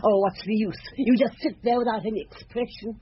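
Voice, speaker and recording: A woman's voice: on the high side, fairly even intonation, a little fast, lightly acted. An actress with a British accent playing a haughty, indignant older French landlady in a radio drama. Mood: defensive. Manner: indignant, clipped, disdainful. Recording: dull, hissy radio drama recording.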